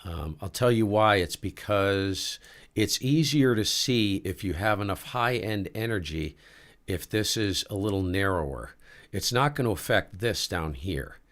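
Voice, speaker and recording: A man with a very low voice running a casual live ham radio demo, talking to himself as he adjusts settings. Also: measured pace. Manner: relaxed, talks to himself while adjusting gear. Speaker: ham radio livestream host.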